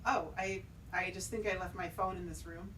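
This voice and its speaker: A woman's voice: on the low side, steady tone, normal speed, fairly bright tone, a little hiss or hum, close to an everyday voice, low-quality recording. Uneasy woman investigator played by an actress. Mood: nervous. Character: uneasy, watchful woman investigator, secretly recording. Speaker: narrator and lead character of an audio drama. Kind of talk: scripted first-person narration and dialogue.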